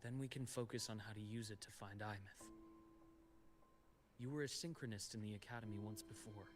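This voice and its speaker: An actor playing a calm, caring male doctor with a measured, reassuring way of speaking. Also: on the low side, lightly acted. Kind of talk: game voice acting. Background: game music.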